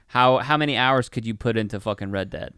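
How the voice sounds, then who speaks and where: A man's voice: mid-range voice, brisk, bright, forceful tone, smooth, dry recording, studio quality. A man co-hosting a podcast in casual chat, rambling and peppering his talk with "like" and swearing. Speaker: podcast co-host. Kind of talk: casual chat, film review.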